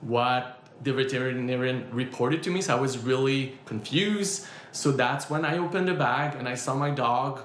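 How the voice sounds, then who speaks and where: Man in echoey room, colloquial interview speech. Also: mid-range voice. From Gatineau, Canada. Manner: colloquial, peppered with "like". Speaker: interviewee, bereaved dog owner. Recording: echoey room, faint background noise.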